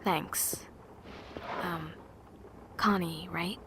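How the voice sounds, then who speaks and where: A woman acting a practical, kindly young survivor in an apocalypse audio drama, calm, polite and reassuring. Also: lowish voice, drawn-out words, a little hiss or hum, exaggerated voice.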